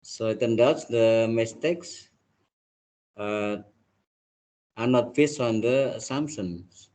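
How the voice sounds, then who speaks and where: A man from Indonesia with an Indonesian accent, a native Indonesian speaker, lecturing a class on linguistics in a halting way, often saying "let's say, like". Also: mid-range voice, darkish tone.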